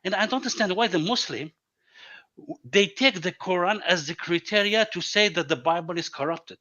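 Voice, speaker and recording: A man whose native tongue is Arabic debates over a video call in a high, sharp-edged voice. He stumbles and repeats words as he builds each point. The call audio is dull and lo-fi. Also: normal speed. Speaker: debate guest.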